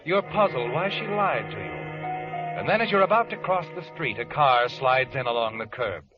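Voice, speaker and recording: An actor playing a sly, all-knowing narrator who needles the listener in a 1940s radio suspense drama. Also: male voice, medium pitch, big pitch swings, moderate pace, close to an everyday voice. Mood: suspenseful, insinuating. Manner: insinuating, with needling tag questions. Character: sardonic, all-knowing male narrator taunting the protagonist. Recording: muffled vintage radio recording. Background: dramatic background music.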